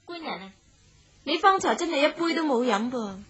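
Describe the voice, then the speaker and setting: Shrewd young lady traveller, hong kong cantonese radio drama, high sharp voice, brisk and confident. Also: animated, lo-fi sound. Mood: coaxing.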